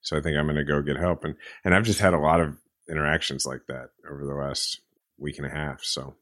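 A man's voice: extremely low pitch, steady tone, quick pace, fairly bright tone, no room sound, clean studio sound. A man co-hosting a podcast, talking in an earnest, reflective, casual way. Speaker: podcast co-host and author. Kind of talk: casual chat.

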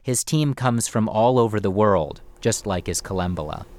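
A male radio reporter narrating a scripted feature story in a measured, storytelling delivery. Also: fairly low pitch, darkish tone.

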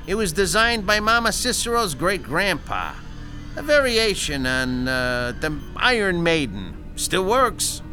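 A man's voice: high voice, big pitch swings, pushed and bright, exaggerated voice. An actor playing a smug, ghoulishly casual henchman in an audio thriller. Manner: ghoulishly casual, taunting, drawn-out phrasing. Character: gloating, matter-of-fact male henchman, captive but smug. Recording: music behind the voice.

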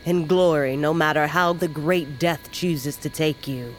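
Slow-spoken, solemn old shaman woman. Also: deep voice. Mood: solemn, steady. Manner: solemn, measured, cryptic. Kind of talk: fantasy audio drama acting. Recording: music underneath.

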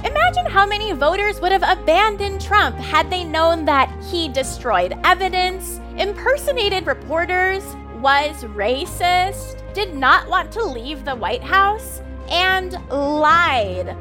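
Self-righteous cartoon news anchor, very high and swooping, emphatic, music underneath. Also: female voice, big pitch swings, takes it slowly, few pauses, exaggerated voice. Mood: righteous, mocking. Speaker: news host. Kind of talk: satirical news commentary.

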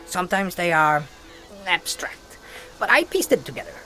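An actress playing a terse, guarded outsider from an isolated people in a fantasy audio drama, speaking in halting, clipped phrases. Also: female voice, fairly low pitch, very animated, bright, forceful tone, soft background music, rough recording. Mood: thoughtful, explaining. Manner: halting, clipped sentences with pauses and murmurs.

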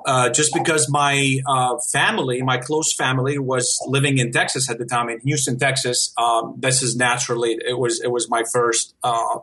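A man's voice: medium pitch, pushed and bright. A man from Syria whose first language is Arabic, a guest in a casual interview who is modest, laughs often and restarts his sentences. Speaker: guest animator.

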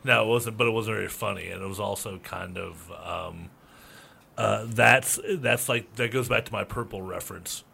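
A man's voice: fairly low pitch, normal speed, creaky, sharp tone, breathy edge. A man co-hosting a casual podcast, laid-back and wry. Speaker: podcast co-host. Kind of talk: casual chat.

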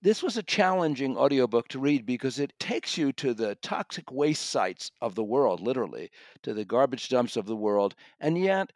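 A man's voice: medium pitch, darkish tone, no room sound. A man co-hosting a podcast, reviewing audiobooks in a discursive, conversational way and often rephrasing his point.

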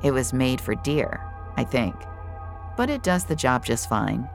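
A woman playing a cold, gloating serial killer who narrates an audio drama in a measured, menacing way. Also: extremely low pitch, big pitch swings, vocal fry, faint music behind, heavily acted. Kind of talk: first-person audio fiction narration. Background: dramatic score.

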